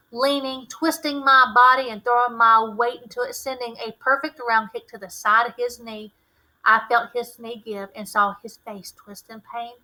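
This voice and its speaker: A woman reading a novel aloud as a teacher would, in expressive narration. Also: fairly high pitch, poor audio. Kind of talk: expressive scripted audiobook narration.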